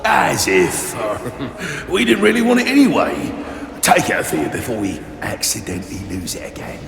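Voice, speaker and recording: An actor voicing a sneering, teasing undead pirate crewman in a video game, gravelly and drawling. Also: medium pitch, huge pitch swings, normal speed. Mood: mocking. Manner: mocking, drawling. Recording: echoey reverb, noisy ambience.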